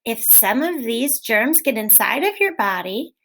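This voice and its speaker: Woman reading aloud to children, bit high. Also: a little slow. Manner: gentle, clear, expressive reading with questions. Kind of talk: read-aloud storytelling for children.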